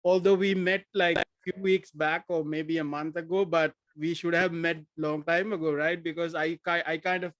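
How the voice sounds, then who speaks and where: A man's voice: fairly high pitch, flat delivery, fairly bright tone, no room sound, poor audio. Man hosting a podcast over video call. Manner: halting, filler-heavy questions. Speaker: podcast host. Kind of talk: casual video-call interview. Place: home room with posters behind. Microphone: desk microphone on video call.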